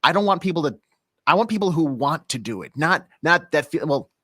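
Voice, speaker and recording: A man's voice: fairly high pitch, expressive, a little fast, bright, forceful tone. A man co-hosting a radio talk show, speaking in a wry, sardonic way. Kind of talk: casual radio chat.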